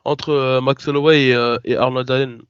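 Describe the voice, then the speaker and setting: A man's voice: medium pitch, flat delivery, moderate pace, smooth, dry recording. A French man co-hosting a casual podcast, talking hesitantly with many restarts. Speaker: podcast co-host. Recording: dry, dull lo-fi sound.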